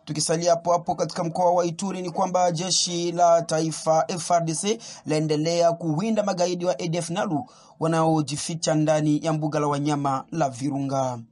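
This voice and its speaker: A man reading a news bulletin as anchor in an even, formal way. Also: on the high side, moderate pace.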